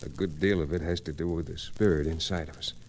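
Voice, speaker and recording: Deep-voiced, solemn western radio hero. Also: male voice, steady tone, fast talker, a little mellow. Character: solemn, kindly western man narrating his tale. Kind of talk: old-time radio western acting. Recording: noisy old radio recording.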